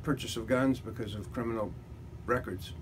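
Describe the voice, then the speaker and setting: Male interviewee, mid-pitched, explanatory and measured, recorded with clear ambient noise. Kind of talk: considered interview answer.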